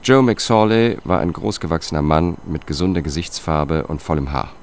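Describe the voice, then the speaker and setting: An actor playing a weary, melancholic male investigator who narrates his own story in a measured, subdued way for a radio drama. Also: extremely low pitch, darkish tone. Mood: sober, factual.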